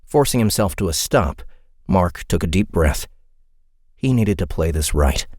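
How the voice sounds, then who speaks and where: A man narrating a fantasy audiobook in an expressive, dramatic storytelling style. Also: sits low, unhurried, no room sound, studio quality.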